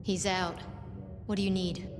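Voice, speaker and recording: A woman's voice: fairly low pitch, flat delivery, unhurried, big-room sound, background noise. An actress playing a curt, dismissive woman gatekeeper in a video game, clipped and dry in delivery.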